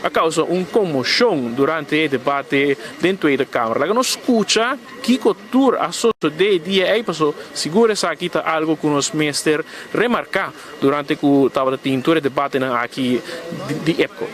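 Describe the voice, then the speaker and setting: Higher-pitched male news reporter. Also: normal speed, fairly bright tone.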